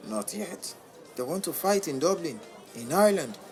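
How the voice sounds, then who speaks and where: An actor playing a calm, money-minded boxing manager who talks smoothly and reassuringly in an audio drama. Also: male voice, fairly high pitch, moderate pace, soft background music, close to an everyday voice. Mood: persuasive. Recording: clear background noise.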